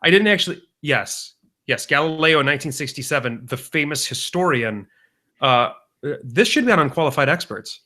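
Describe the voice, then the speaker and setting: A young man co-hosting a podcast, rambling through deadpan jokes in casual banter. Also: a little high, normal speed. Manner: deadpan joking, rambling with restarts. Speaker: podcast co-host.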